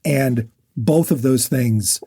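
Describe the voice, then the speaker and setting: A man with a warm, relaxed, slightly rough voice co-hosts a book podcast. He explains things conversationally and stresses his opinions by repeating them. The sound is dry, with no room to it. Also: mid-range voice, slow pace, no echo. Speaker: podcast co-host. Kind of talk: casual book chat with explanations.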